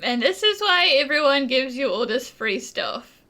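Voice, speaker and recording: A woman comments casually on a game she is playing. Her voice is high and bright, with lively, playful swings in pitch. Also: measured pace. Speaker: gaming streamer reacting to a game.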